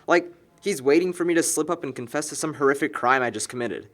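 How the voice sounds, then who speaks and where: A man's voice: on the high side, fast, a bit bright, close to an everyday voice. An actor playing a sarcastic, exasperated young man planning a house party in a film drama, talking in wordy, slangy wisecracks. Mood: exasperated, defensive. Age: young adult. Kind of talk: naturalistic amateur film dialogue.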